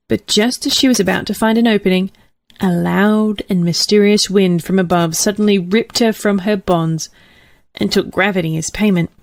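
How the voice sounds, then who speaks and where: A woman's voice: mid-range voice, no room sound. A woman reading a whimsical story aloud as a scripted audiobook narrator.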